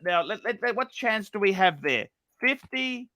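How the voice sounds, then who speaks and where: An excitable man hosting a livestream, jokey and loud as he reads donations and calls out to chatters. His voice sits high and sounds bright and forceful. The sound is dry and close, with little room around it. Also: normal speed, no room sound. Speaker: livestream host. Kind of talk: casual livestream chat, reading out donations.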